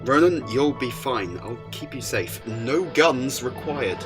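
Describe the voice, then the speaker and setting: A quirky alien adventurer who travels through time, acted by a man in an audio drama. His mid-pitched voice swings widely, halting and then bursting out theatrically, over clear music. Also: lively intonation. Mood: firm, protective. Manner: theatrical, hesitant stammers, abrupt emphatic bursts.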